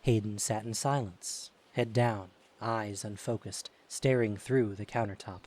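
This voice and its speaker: A man's voice: lowish voice, steady tone, a little slow, a little mellow. A man narrating an audio drama in a scripted storytelling style. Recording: faint hiss.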